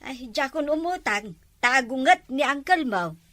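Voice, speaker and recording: A woman's voice: high-pitched, lively intonation, measured pace, fairly bright tone, dry recording. A woman voicing a village wife in a comedy drama who explains things in a matter-of-fact way. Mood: explaining. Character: thrifty village wife in debt.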